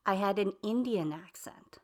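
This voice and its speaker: A woman's voice: mid-range voice, normal speed, a little mellow. A middle-aged mother speaking earnestly in an interview, explaining things with hesitant ums and uhs. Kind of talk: casual interview talk.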